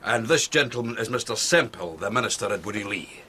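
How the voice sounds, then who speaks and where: A seasoned Scottish soldier in a radio play, with a lowish, forceful voice. He speaks with genial, formal courtesy and a hearty air. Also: animated, a little fast, slight background noise. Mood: hearty, courteous. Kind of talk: radio drama acting.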